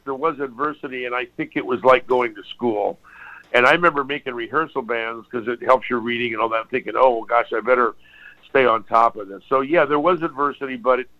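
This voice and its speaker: An older man from Hawaii, a guitarist guest, telling his story in a relaxed, rambling way with restarts and apologies. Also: medium pitch, fairly quick. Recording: dull lo-fi sound.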